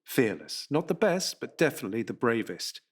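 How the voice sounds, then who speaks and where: A man's voice: mid-range voice, sing-song, normal speed, husky. A man giving scripted dramatic narration in an intense, rhythmic way. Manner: intense, rhythmic, second-person at times.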